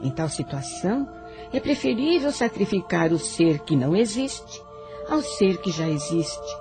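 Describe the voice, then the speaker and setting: A female guardian angel acted by a woman speaks solemnly and protectively in a radio play. Her formal, preaching delivery rises and falls expressively, with clear music underneath. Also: lowish voice, big pitch swings, a little slow. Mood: solemn, admonishing. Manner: solemn, preaching, formal old-fashioned address. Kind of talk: religious radio drama acting.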